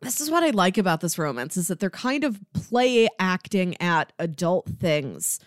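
A woman co-hosting a podcast in a casual film discussion, animated and given to acting out dialogue as she talks. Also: fairly low pitch, normal speed, no echo, clean studio sound. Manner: animated, acts out characters' lines. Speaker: podcast co-host.

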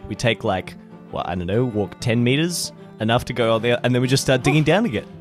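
A man playing an improvised tabletop game, bantering casually in an animated, jokey way. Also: medium pitch, a little fast. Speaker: player in an improvised audio drama. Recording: music behind the voice. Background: music.

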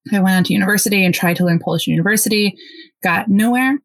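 A woman from the United States, a podcast guest, telling her story quickly and excitedly. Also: medium pitch, no echo, clean studio sound. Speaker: interview guest. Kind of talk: casual podcast interview.

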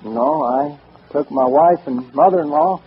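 A muffled old radio recording with faint noise carries an actor as a folksy American comedian, a man with a higher-set voice. He delivers easygoing vintage stand-up patter, built on simple gags. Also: measured pace, close to an everyday voice. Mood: joking, easygoing. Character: folksy male banjo comedian telling tall mother-in-law gags.

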